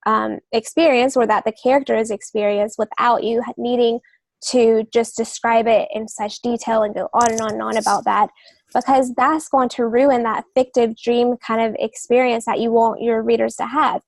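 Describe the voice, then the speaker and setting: A woman's voice: on the high side, fairly even intonation, moderate pace, gravelly, dry recording, rough recording. A woman co-hosting a writing podcast, explaining craft points in an earnest, wordy, conversational way. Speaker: writing podcast co-host and novelist. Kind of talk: casual instructional discussion.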